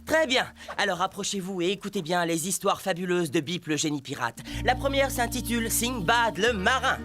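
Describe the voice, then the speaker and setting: An actor playing a grand, whimsical pirate genie who narrates tales in an animated cartoon, sometimes half singing. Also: male voice, high-pitched, moderate pace. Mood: grand, enthusiastic. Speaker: storyteller narrator. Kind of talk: animated cartoon storytelling, part sung. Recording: loud music behind the voice.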